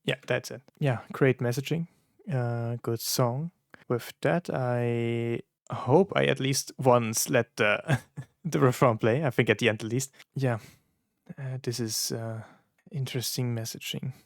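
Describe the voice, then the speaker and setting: Casting: German-native man, reaction creator, gravelly tone, halting delivery, dry close sound. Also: medium pitch, steady tone, dry recording. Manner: halting, many fillers and restarts, searching for words. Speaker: content creator reacting to songs. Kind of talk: casual reaction commentary.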